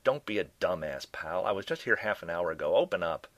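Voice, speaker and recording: Man playing a gruff, impatient secret agent, dry sound. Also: sits low, big pitch swings, a little fast, a bit bright, no echo. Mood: weary, irritated. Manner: gruff, terse.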